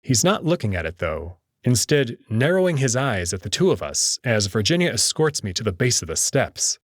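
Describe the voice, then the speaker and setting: A man's voice: mid-range voice, very animated, normal speed, dry recording, clean studio sound. A man narrating a first-person story in a dramatic reading style.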